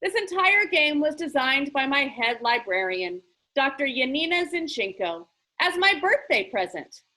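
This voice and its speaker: Woman as an eccentric, theatrical male game-maker, high-voiced. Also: sing-song, a little slow, pushed and bright, full character voice. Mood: grandly explaining. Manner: theatrical, emphatic, pronouncing showily.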